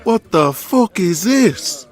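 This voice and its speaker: A man's voice: high-pitched, big pitch swings, slow, soft background music, full character voice. An actor playing a brash, grumbling man of eighties pro wrestling, blunt and argumentative, in an audio drama. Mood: defensive, annoyed. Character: brash, foul-mouthed, grumbling men of 1980s pro wrestling.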